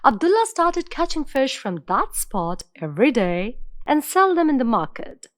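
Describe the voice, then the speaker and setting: A woman narrating an animated fairy tale in an expressive, storybook way. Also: high-pitched, normal speed, no room sound, clean studio sound.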